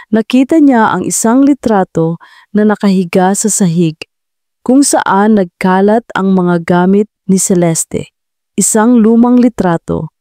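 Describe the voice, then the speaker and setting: A woman narrates a romantic audio drama in a mellow voice. The sound is dry, with very little room around it, and the delivery is scripted and dramatic. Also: mid-range voice, no echo. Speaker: narrator of audio love story.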